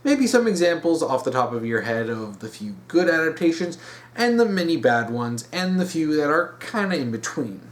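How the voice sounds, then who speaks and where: A man's voice: a little high, sing-song, moderate pace. A man giving emphatic opinion commentary as a video host, repeating words for stress.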